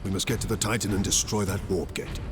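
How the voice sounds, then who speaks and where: A man playing a stern, resolute space marine captain in a video game, speaking in a formal, commanding, measured way. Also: low-pitched, steady tone, close to an everyday voice. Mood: grim resolve. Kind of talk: video game drama acting. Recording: loud game music behind.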